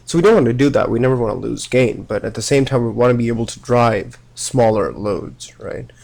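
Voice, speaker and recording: A man's voice: mid-range voice, expressive, brisk, gravelly, a little mellow. A young man with a cold giving a casual electronics lecture, often checking in with "right?" and restarting his sentences. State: has a cold.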